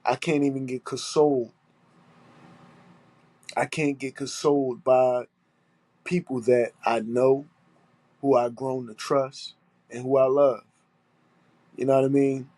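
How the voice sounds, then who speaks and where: A man with a blocked, stuffy nose holds forth alone on his podcast. He sounds aggrieved and hammers each point through repetition, with rough language thrown in. His mid-pitched voice sits close and dry, with no room sound. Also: fairly even intonation, unhurried, pauses often, mellow, no room sound. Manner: aggrieved, emphatic, repeating himself, swearing. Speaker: podcast host. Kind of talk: solo monologue podcast.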